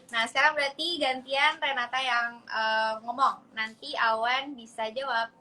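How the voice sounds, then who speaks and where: A woman's voice: high-pitched, fairly even intonation, measured pace, bright, forceful tone. A woman casually hosting a party game, upbeat and prompting the guests along. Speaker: host.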